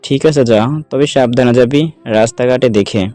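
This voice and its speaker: A man's voice: medium pitch, normal speed, dark tone, no echo, faint music behind, natural voice. A man playing kindly, watchful men of a household in an audio story. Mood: caring. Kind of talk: Bengali audio story acting.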